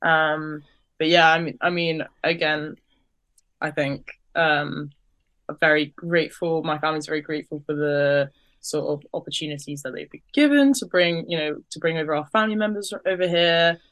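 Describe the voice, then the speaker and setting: A young woman co-hosting a podcast, talking in an animated, chatty way with lots of fillers. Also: low voice, normal speed. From Ukrainian family, raised in the UK. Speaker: podcast co-host. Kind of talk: casual podcast discussion.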